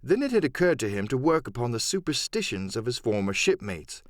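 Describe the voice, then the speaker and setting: A man narrating a classic adventure audiobook in an expressive, dramatic storytelling manner. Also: medium pitch, fairly quick, no room sound, clean studio sound. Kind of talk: dramatic scripted literary narration.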